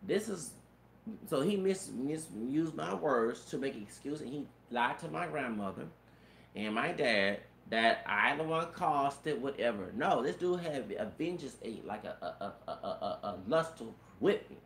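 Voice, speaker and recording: A man in his thirties with a feminine-sounding, gravelly voice rambles through a personal story. He stumbles over repeated words and curses. The recording has a roomy echo with faint background noise. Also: mid-range voice, expressive, normal speed, a bit bright. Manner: repetitive stammered restarts, profanity, frequent "you know what I'm saying".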